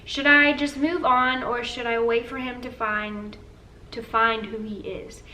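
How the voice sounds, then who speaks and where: Young woman co-host, earnest chatter, high-ish voice, faint background hiss. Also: fairly even intonation, measured pace, bright, forceful tone. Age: young adult. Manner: chatty, earnest, says "like" often. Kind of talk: casual couple Q&A chat. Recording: slightly distant with faint room noise.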